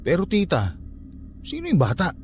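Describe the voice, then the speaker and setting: A man's voice: sits high, huge pitch swings, moderate pace, darkish tone, faint music behind, heavily acted. A man with a Filipino accent acting a troubled, defensive young nephew in a radio drama. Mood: troubled, puzzled.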